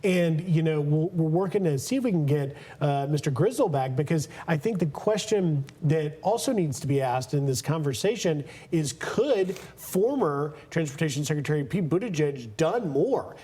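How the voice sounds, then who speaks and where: Higher-pitched American male news anchor. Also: lively intonation, normal speed, hardly any pauses, mellow, breathy edge. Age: 50s. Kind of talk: live TV news anchoring and interviewing.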